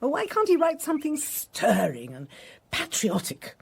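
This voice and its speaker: A woman's voice: high-pitched, wildly swooping pitch, moderate pace, vocal fry, darkish tone, heavily acted. An actress playing a genteel, imperious older lady of a country house, with an upper-class English accent, in a radio drama. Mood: indignant.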